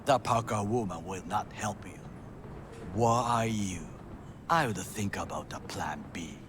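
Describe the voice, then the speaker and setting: An actor playing a stern, formal male corporate bodyguard who speaks in clipped, measured sentences and drops into quiet whispers. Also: lowish voice, close to an everyday voice. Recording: game audio with clear background noise.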